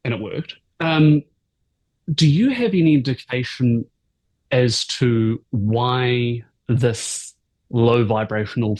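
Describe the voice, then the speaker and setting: Male podcast host, husky voice, casual and curious. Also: medium pitch, darkish tone, poor audio. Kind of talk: interview questions.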